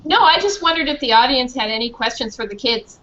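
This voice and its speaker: A middle-aged or older woman, a children's author, answering questions informally and haltingly over a video call. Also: high voice, animated, noisy background. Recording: dull, muffled video-call audio.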